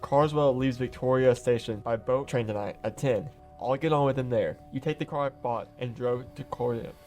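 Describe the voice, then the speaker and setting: An actor playing a resolute, commanding friend in a radio play, speaking in an urgent, firm, instructing way. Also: male voice, mid-range voice, moderate pace, darkish tone, soft background music, close to an everyday voice. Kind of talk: radio drama acting.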